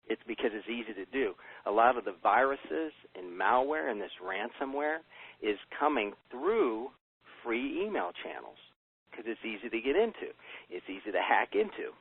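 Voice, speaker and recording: An American man gives an emphatic business pitch on a conference call, asking himself rhetorical questions and answering them. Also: expressive, moderate pace, slightly rough. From United States. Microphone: telephone. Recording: tinny phone line.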